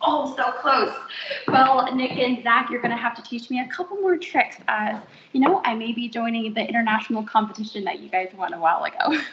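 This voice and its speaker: A woman's voice: fairly high pitch, fairly bright tone, muffled recording, slight background noise. A woman hosting an online ceremony, announcing in a light, upbeat way. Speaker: ceremony host.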